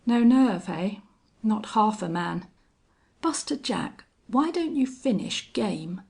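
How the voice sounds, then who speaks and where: A steely older gunfighter from a western audiobook, voiced by a woman. He is cold and contemptuous, taunting in a creaky, husky voice with deliberate menace. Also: medium pitch, exaggerated voice. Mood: cold challenge. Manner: strange monotone, taunting, cold. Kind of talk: audiobook character acting.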